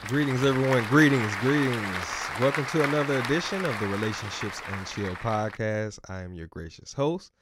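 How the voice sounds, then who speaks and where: A man's voice: mid-range voice, animated, moderate pace, hardly any pauses, a loud crowd. A man hosting a casual podcast interview as a relationship coach, playful and loose, often repeating and stumbling over words.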